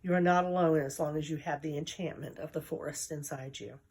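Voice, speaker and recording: A woman voicing a small, kindly old male dwarf who coaxes gently. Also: low-pitched, fairly even intonation, a little fast, slightly rough. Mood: coaxing. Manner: coaxing, gently insistent.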